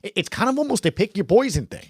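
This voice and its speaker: A man's voice: high-pitched, big pitch swings, fast talker, a little mellow, husky, no echo, clean studio sound. A man hosting a sports podcast, talking informally and conversationally as he thinks aloud.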